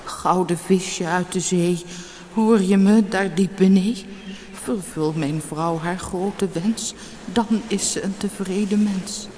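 A woman's voice: lowish voice, steady tone, slow, dark tone, slight background noise. A woman voicing a timid, sorrowful old fisherman in a fairy-tale audiobook, pleading and trembling.

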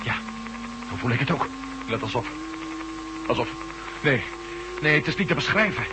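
An actor playing an intense, decisive former astronaut in a radio drama, with a serious delivery. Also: male voice, mid-range voice, very animated, moderate pace, close to an everyday voice. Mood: dazed, gripped. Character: ex-astronaut reporter, male adventure hero, intense and decisive. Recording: faintly noisy humming radio recording.

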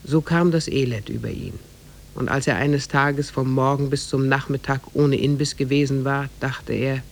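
A man playing a measured storyteller, narrating an old oriental fairy tale in a 1950s radio drama. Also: mid-range voice, steady tone, gravelly, lightly acted. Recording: faintly noisy 1950s radio recording.

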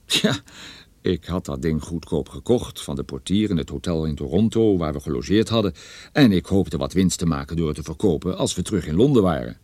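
A man's voice: deep voice, lively intonation, a little fast, a little mellow, no echo. An actor playing a wry, self-deprecating private detective who narrates his own story in a radio drama.

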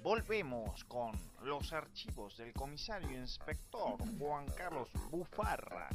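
An actor playing a melodramatic radio serial announcer, narrating in a grandiose, suspenseful mock-heroic way with an Argentine accent. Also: male voice, a little high, very animated, takes it slowly, music in the background, exaggerated voice.

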